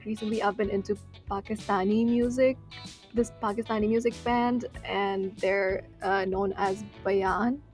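A woman's voice: medium pitch, fairly even intonation, a little mellow, soft background music. A young woman from Nepal whose first language is Nepali, a PhD student explaining her research in a radio interview, with frequent fillers. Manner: explains science slowly with fillers and hedges.

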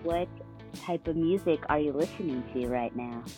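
An upbeat woman hosts a radio interview, cheering on her guest. Her voice sits a bit low. Faint guitar music plays beneath. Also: moderate pace. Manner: upbeat and encouraging. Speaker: radio host.

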